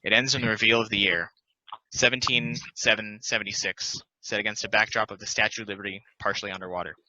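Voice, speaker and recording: A man's voice: lowish voice, steady tone, fast, sharp tone, slight background noise. A man reading scripted narration as the narrator of stage directions. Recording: dry, dull lo-fi audio.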